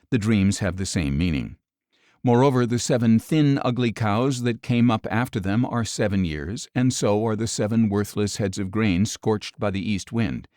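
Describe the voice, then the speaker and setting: A man reading scripture aloud as a wise, humble young dream interpreter, measured and earnest. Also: on the low side, darkish tone, no room sound, clean studio sound, natural voice.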